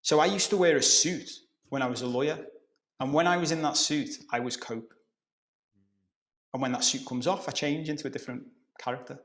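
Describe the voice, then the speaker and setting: A middle-aged man from Liverpool with a softened Scouse accent, explaining ideas as a coach on a podcast. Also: fairly high pitch, fairly quick. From Liverpool, England. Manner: explanatory, conversational, occasional swearing. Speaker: guest coach. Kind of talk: podcast conversation.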